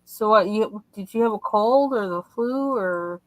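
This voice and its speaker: Guest: woman, mid-pitched, unhurried, close dry sound, easygoing short replies. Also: dry recording, low-quality recording. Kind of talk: casual livestream chat.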